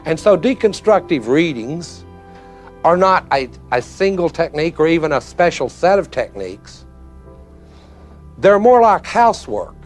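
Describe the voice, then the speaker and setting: Higher-pitched man lecturing in a folksy way. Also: sing-song, unhurried, faint music behind. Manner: folksy, emphatic, repeats phrases for effect. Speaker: philosophy lecturer. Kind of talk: spoken lecture.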